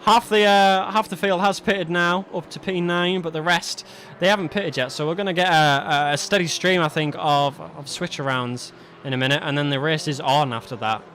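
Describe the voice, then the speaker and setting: A man's voice: a little high, fairly even intonation, moderate pace, fairly bright tone. A British man giving live sim racing commentary on a livestream, mixing it with matey, jokey, colloquial banter. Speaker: esports livestream race commentator. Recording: noisy stream with car engine sound.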